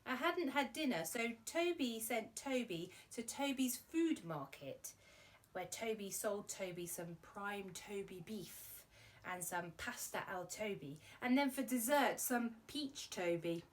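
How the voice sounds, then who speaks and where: A woman's voice: mid-range voice, lively intonation, takes it slowly. A woman presenting a children's arts show, chatty and playful as she talks to young viewers and reads silly verse. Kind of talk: children's presenting and poem reading.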